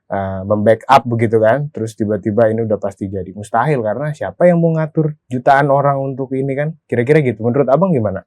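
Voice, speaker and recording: A man hosts a discussion in a medium-pitched voice. He talks informally, lays out his argument and checks for agreement, recorded dry with no room sound. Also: fast, darkish tone, no room sound. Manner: informal, argues a point then checks agreement. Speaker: talk show host. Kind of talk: conversational political discussion.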